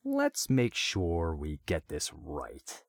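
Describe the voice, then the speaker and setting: A man's voice: deep voice, huge pitch swings, very slow, creaky, dry recording, clean studio sound, full character voice. A man voicing a gleeful, sadistic male vigilante who taunts his captive and laughs often.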